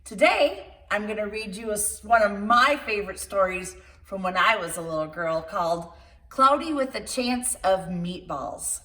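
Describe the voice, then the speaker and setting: A woman reading a picture book aloud to children in an expressive storytime style, with playful asides to her young listeners. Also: mid-range voice, normal speed. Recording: roomy echo, distant microphone.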